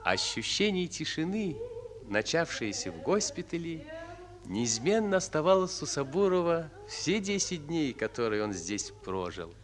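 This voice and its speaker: A man narrating a radio drama in a solemn, deliberate, theatrical style. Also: fairly high pitch, big pitch swings. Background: music.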